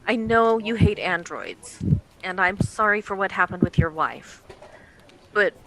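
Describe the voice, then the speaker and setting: Casting: woman as no-nonsense radio-drama police chief, sardonic and weary, with a sharp, bright tone. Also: medium pitch, expressive, a little slow, rough recording. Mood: stern, sardonic. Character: stern, weary female police boss. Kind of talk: radio play dialogue.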